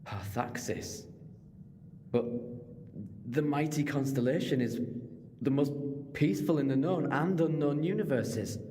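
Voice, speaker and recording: A man's voice: on the high side, warm tone, lightly acted. A man playing a clever, chatty alien time traveller in an audio drama, explaining things conversationally with moral earnestness. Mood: breezy, wry. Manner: expository, conversational, quick to digress. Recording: long reverberant hall.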